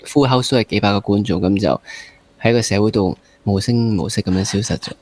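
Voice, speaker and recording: A man with a Hong Kong accent hosting a casual interview, feeling his way through halting phrases with some English words mixed in. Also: on the low side, a little mellow. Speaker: interview host.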